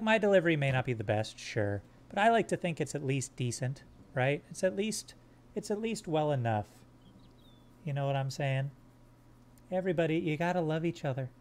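A man streaming a game live, joking crudely with his chat in a fairly monotone voice while sorting his squad's gear. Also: a little high. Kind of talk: live gameplay commentary.

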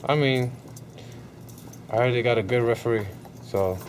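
Laid-back young boxer from Sweden. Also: male voice, mid-range voice, normal speed. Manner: relaxed, short laid-back answers. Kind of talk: casual interview answers. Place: hotel event hall indoors. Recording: noticeable background noise.

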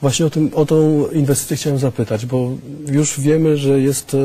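A man's voice: mid-range voice, steady tone, normal speed, mellow, husky, low-quality recording. A man hosting a TV studio interview, asking questions hesitantly with frequent fillers. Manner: hesitant, many fillers and restarts. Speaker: TV host.

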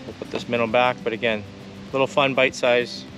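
Man explaining over clear music. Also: mid-range voice, flat delivery, brisk, low-quality recording. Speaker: fishing presenter. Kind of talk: casual on-location explanation. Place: outdoors by a shallow creek.

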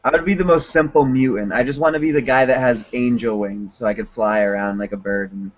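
A man's voice: mid-range voice, steady tone, brisk. A young man hosting a casual video call chat from a dim room at home, laid-back and laughing often. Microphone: webcam on a video call. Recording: dull lo-fi video call audio.

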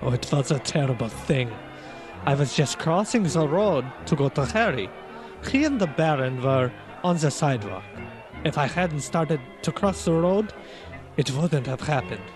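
A man's voice: fairly high pitch, expressive, moderate pace, over music, lightly acted. An actor playing an evasive friend of a dead man in a radio drama, speaking dramatically and emphatically. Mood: distressed, defensive. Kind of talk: old-time radio drama acting.

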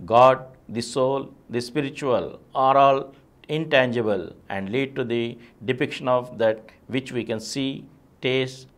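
Indian-accented man reading aloud, declamatory. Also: medium pitch. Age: middle-aged. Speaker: presenter reading a text aloud. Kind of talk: scripted reading of a philosophy essay. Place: small video studio, indoors. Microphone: lapel mic.

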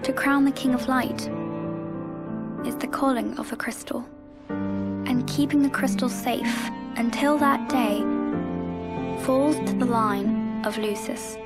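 A woman playing a gentle, earnest young oracle in a video game, speaking formally and softly. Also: high voice, fairly even intonation, takes it slowly, long pauses, loud music behind the voice. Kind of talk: video game cutscene acting.

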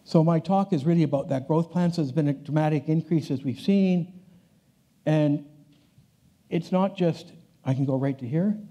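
Older male keynote speaker, deep mellow timbre, casual lecture delivery that circles back on its phrases. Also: normal speed, muffled recording. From Canada. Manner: conversational, restarts phrases, walks the stage. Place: large conference hall stage.

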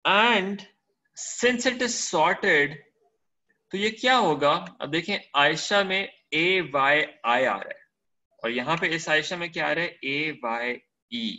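A man's voice: a little high, slow, sharp tone. A man teaching a spreadsheet lesson over a screen recording, switching between Hindi-Urdu and English, checking in often with short questions. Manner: mixes Hindi-Urdu and English, explains step by step.

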